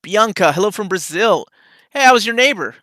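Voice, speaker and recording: A man from Peru hosting a relaxed live Q&A about comics. He reads out viewer questions and answers them in an easygoing, enthusiastic way. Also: high-pitched, a little fast, dry recording, clean studio sound. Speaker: comics channel host. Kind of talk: casual live Q&A chat. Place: home room.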